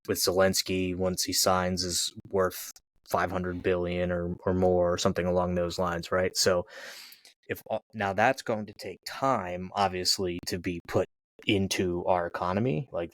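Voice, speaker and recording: A man's voice: sits low, flat delivery, moderate pace, dry recording. A man co-hosting a podcast, talking casually about politics in a rambling, hedging way. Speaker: podcast co-host.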